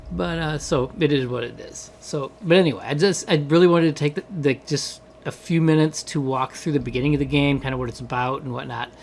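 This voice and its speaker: Hoarse man walking through his game. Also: on the high side, fairly even intonation, a little fast, few pauses, slight background noise. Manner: rambling, many fillers and "right?" tags. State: hoarse from a cold. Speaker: game developer. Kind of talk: casual game walkthrough.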